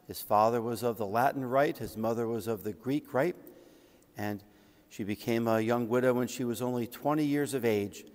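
A middle-aged man delivers a homily from the front of a large church. His voice sits at an ordinary male pitch and rings with long reverb. He speaks in measured phrases, like a priest telling a saint's life and reading from old writings. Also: a little mellow. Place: large church sanctuary, at the ambo.

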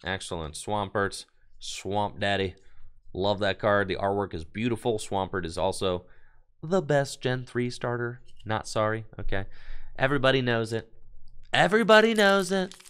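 A man's voice: on the low side, animated, moderate pace, a bit bright, clear, resonant tone. Hyped man opening trading cards. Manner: enthusiastic, hype-building, reads card text aloud. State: losing his voice. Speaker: card opening host. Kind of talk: casual card-opening commentary to live chat.

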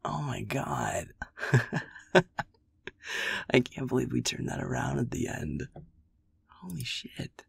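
Husky, breathy young boyfriend played by a man. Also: medium pitch, animated, slow pace, pauses often, exaggerated voice. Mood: excited. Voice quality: husky, breathy, gravelly, often near whisper. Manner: casual, swearing, chuckling, affectionate murmuring. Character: sweet playful young adult werewolf boyfriend gaming, easily excited. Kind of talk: intimate boyfriend audio roleplay.

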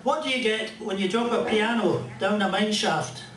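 An older man with a Scottish accent telling jokes and a rambling story at a stand mic on a small club stage, in a dry, unhurried way. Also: high voice, crowd noise. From Scotland. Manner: dry, rambling joke delivery with pauses. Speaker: comedian and musician. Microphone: stand mic, filmed from a distant camera. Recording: roomy club sound from far off.

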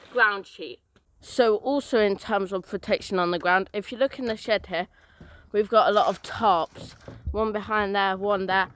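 A man's voice: high-pitched, a bit bright, slight background noise, poor audio. A teenage boy, rambling casually through outdoor gear in a garden, with many fillers. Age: teens.

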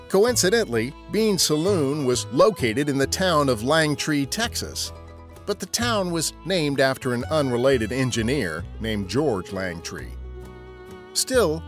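Man narrating history with lively, swooping delivery. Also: medium pitch. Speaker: podcast host and narrator.

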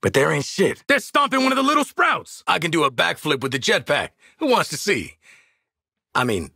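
A brash video game hitman with a husky voice that swings widely in pitch. He is cocky and sardonic, tossing out punchy wisecracks and combat barks in a dry, close recording. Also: bright, forceful tone, no echo, heavily acted. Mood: playful bragging. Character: cocky, sardonic adult male assassin marksman in combat.

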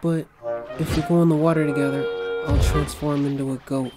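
A woman voicing a shy, excitable young demon boy in a cartoon fandub, hesitant and expressive. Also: deep voice, a little fast, close to an everyday voice. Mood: flustered, eager. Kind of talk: anime-style cartoon fandub acting. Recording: loud music under the voice.

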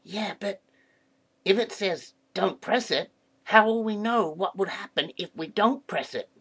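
A woman playing a playful, mischievous talking duck in a children's comedy skit, with a creaky, husky voice. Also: medium pitch, a little slow, a bit bright. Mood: mischievous, curious. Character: playful, mischievous talking duck who loves pressing buttons.

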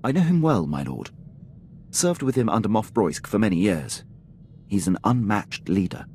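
A gruff Imperial officer from a video game, with a deep voice. He is clipped, loyal and soldierly, over faint ambient noise. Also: big pitch swings, moderate pace, warm tone. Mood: earnest, dutiful. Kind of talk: scripted video game acting.

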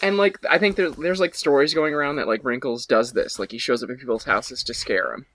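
A man's voice: medium pitch, a little fast, fairly bright tone. A man in his twenties, a podcast co-host on a video call, telling a story casually with "like" scattered through it. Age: 20s. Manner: peppers talk with "like". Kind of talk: casual rambling storytelling with friends. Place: small room at home, indoors.

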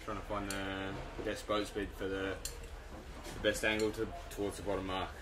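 Brief: young man, low monotone, brief and matter-of-fact, noisy workshop behind. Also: moderate pace. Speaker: sailor answering a question.

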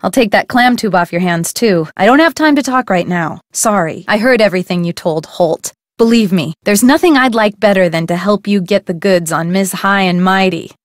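A woman's voice: medium pitch, normal speed, hardly any pauses, no room sound, natural voice. Gossipy small-town cafe owner, folksy and opinionated, a woman voicing her for a game; dry studio take. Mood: friendly. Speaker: game character. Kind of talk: video game voice acting.

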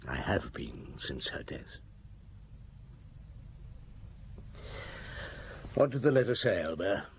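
An actor playing a weary, compassionate middle-aged French police inspector in a radio drama, measured and ruminative, with a husky voice. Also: male voice, sits low, sing-song, slight background noise. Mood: grave, weary.

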